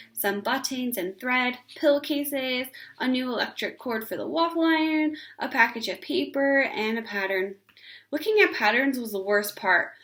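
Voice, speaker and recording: Female read-aloud narrator for children's fiction, fairly high voice, expressive delivery.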